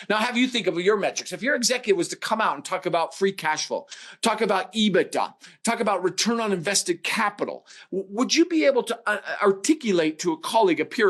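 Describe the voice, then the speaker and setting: A man's voice: on the high side, fairly bright tone. A middle-aged man presenting a business webinar as a corporate trainer, explaining points and posing rhetorical questions.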